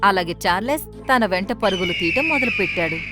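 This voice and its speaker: A woman's voice: medium pitch, animated, brisk. A woman reading scripted narration for a children's cartoon story. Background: light background music.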